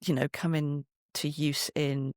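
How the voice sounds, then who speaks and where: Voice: medium pitch, level tone, a little slow, no echo, clean studio sound. A podcast guest chatting in an interview, explaining things from experience with frequent asides and self-interruptions. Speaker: podcast guest, storyteller and voice performer.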